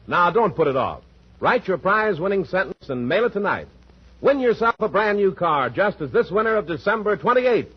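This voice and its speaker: An American man delivering a 1940s radio advertising pitch as a commercial announcer. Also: very animated, normal speed, a little hiss or hum. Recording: muffled 1940s radio broadcast recording.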